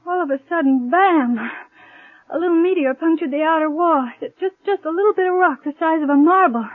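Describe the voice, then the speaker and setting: Shaken but brave injured young servicewoman, 1950s radio drama; high voice, tinny vintage sound. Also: brisk, no echo. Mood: shaken, pained.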